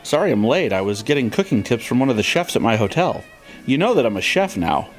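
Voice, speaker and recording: A man's voice: mid-range voice, big pitch swings, fast talker, soft background music, close to an everyday voice. A man playing an eager, slightly clueless chef friend in a comedy audio drama, earnest and ribbing. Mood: cheerful, ribbing.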